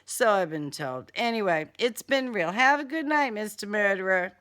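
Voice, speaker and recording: A woman's voice: medium pitch, expressive, moderate pace, fairly bright tone, lightly acted. A woman voicing a tough, sarcastic young female cat burglar in an audiobook, slangy and defiant. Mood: dismissive.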